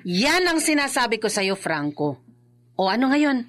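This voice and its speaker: A woman playing a nagging, exasperated wife in a radio drama, scolding with a sharp tongue. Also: a little high, sing-song, unhurried. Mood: exasperated, accusing. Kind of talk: emotive radio drama acting.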